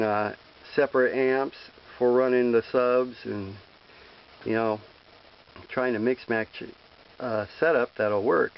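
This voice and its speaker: A man's voice: mid-range voice, gravelly. A man presenting an informal how-to, explaining step by step in a plain-spoken way, recorded on a handheld camera. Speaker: presenter of a hands-on how-to video. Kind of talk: informal hands-on tutorial. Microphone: handheld camera microphone. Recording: dull lo-fi handheld camera audio with hiss.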